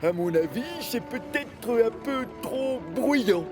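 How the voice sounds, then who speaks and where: Very high-pitched friendly cartoon machine. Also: male voice, animated, slow, full character voice. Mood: worried, regretful. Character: friendly, plain-spoken cartoon quarry machine, male. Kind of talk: children's cartoon dubbing. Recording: music under the voice.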